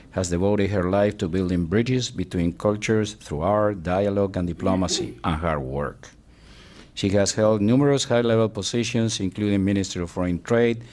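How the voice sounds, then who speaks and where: Low-voiced man, formal introduction. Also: a little mellow. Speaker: moderator introducing a speaker. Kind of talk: formal scripted introduction at a forum.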